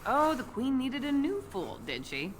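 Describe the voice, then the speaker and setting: An actress playing a surly, sharp-tongued tavern woman in a fantasy video game, needling with sarcasm. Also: high-pitched, sing-song, unhurried, soft background music. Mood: scornful. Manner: sardonic, needling.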